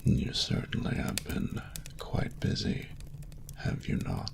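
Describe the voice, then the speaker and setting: Casting: male actor as a courtly dragon lover for romantic audio roleplay; very slow, breathy and gravelly, teasing and seductive. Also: wildly swooping pitch, background noise, full character voice. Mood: fond, gently teasing. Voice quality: deep gravelly half-whisper. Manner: slow, breathy, formal archaic phrasing, seductive. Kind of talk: scripted romantic audio roleplay, intimate address to listener.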